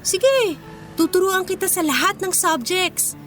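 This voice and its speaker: A woman's voice: very high-pitched, lively intonation, a little slow, fairly bright tone, faint music behind, full character voice. A Filipino woman playing a poor, proud and kind schoolgirl in an emotional, earnest radio drama style. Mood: gentle.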